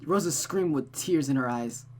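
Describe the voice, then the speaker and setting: A woman's voice: very deep voice, lively intonation, moderate pace, darkish tone. A woman reading a visual novel aloud as host, narrating the story with casual asides. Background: music.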